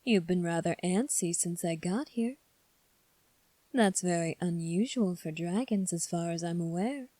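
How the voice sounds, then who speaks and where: A woman voicing a composed, coolly defiant young fantasy prince in an ASMR roleplay, speaking formally and deliberately with long pauses. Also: fairly low pitch, dark tone, no room sound. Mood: skeptical, challenging.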